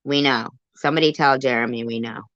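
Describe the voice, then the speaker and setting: Woman doing casual live stream commentary, really low voice, dry muffled lo-fi audio. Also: steady tone, quick pace, a bit bright, dry recording.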